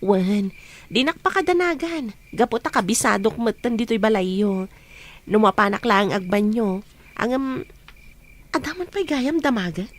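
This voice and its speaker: A woman acts out a nosy, secretive young friend in a radio drama. Her voice sits at a middle pitch, with some noise on the recording. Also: expressive, unhurried, natural voice. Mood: friendly, probing. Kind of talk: Ilocano radio drama acting.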